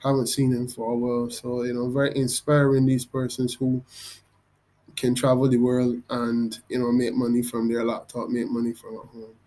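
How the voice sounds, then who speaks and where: A young man with a Barbadian accent, talking through his online business in a casual, hesitant way with many pauses and restarts. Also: medium pitch, monotone, warm tone, poor audio. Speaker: vlogger. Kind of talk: casual screen-recorded walkthrough.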